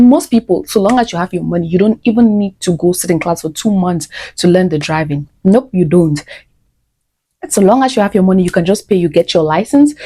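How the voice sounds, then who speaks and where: A woman's voice: fairly low pitch, dark tone, husky. A woman in her twenties from Cameroon, talking casually to her vlog viewers and often calling them "guys". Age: 20s. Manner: chatty, keeps saying "guys" and "like". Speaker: vlogger.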